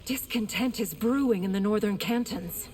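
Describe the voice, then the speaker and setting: A woman plays a dutiful young game princess, poised and earnest. The mid-pitched voice carries a long reverb tail, with clear music behind it. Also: normal speed, darkish tone, close to an everyday voice. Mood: composed, dutiful. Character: loyal, composed young princess and dutiful daughter. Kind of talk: dramatic video game dialogue.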